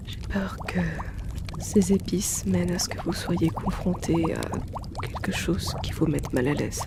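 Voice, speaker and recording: A woman playing a polite, attentive potion shopkeeper in a gentle fantasy roleplay audio, speaking unhurriedly to her customer. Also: on the low side, measured pace, gravelly, background noise. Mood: gentle, hesitant. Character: courteous female potion shopkeeper in a fantasy world. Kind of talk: French roleplay audio, gentle scripted in-character address.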